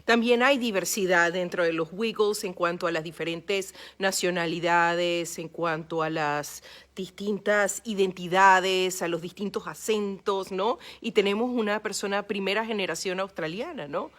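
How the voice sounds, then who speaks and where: A woman interviewing a guest in a radio studio, asking calm questions and summing up his answers. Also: lowish voice, normal speed.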